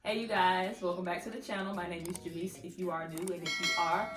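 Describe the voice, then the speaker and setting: A woman's voice: lowish voice, flat delivery, brisk. A woman in her thirties hosting her own channel, giving casual advice to the camera in a chatty, playful way and laughing often. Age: 30s. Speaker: vlogger, channel host. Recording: echoey room with faint music.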